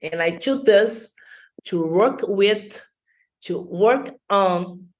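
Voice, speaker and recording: A woman's voice: mid-range voice, animated, extremely slow, poor audio. A woman from Rio de Janeiro with a Brazilian Portuguese accent, a native Portuguese speaker giving a careful, prerecorded talk in English as a guest.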